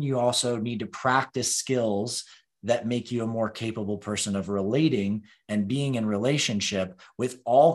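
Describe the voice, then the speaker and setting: A man talking loosely about spiritual practice, with frequent fillers like "you know" and "like.". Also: lowish voice. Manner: loose, rambling, many fillers. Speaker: panel guest. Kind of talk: spiritual discussion.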